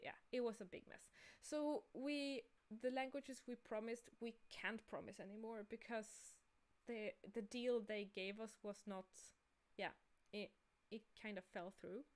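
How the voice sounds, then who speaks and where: A woman's voice: mid-range voice, clear, resonant tone. A woman chatting informally and enthusiastically as she hosts a livestream about her own creative work. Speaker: game creator and artist hosting a livestream. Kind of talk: casual livestream chat.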